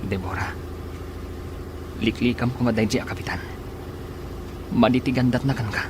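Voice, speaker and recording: A man's voice: mid-range voice, moderate pace, natural voice. A man playing an anxious, jealous young sweetheart in a radio drama, with a worried, evasive manner. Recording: clearly noisy, engine-like ambience behind.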